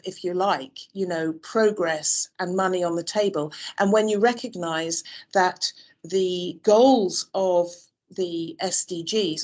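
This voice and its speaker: Thoughtful woman from the UK, low voice. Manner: thoughtful, many fillers and restarts. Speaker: interview guest. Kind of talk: conversational interview answers. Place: Dubai.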